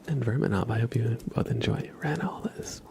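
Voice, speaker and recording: A soft-spoken man hosting an ASMR livestream, chatting casually and unhurriedly close to the mic, drifting between whispers and a low murmur. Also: normal speed, creaky. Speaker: ASMR live streamer.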